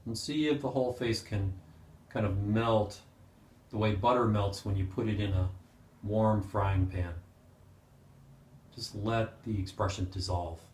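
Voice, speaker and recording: Low-voiced man guiding meditation, roomy echo. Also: fairly even intonation, slight background noise. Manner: gentle, unhurried, with pauses. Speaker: meditation teacher. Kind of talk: guided meditation instruction. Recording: roomy, echoing sound.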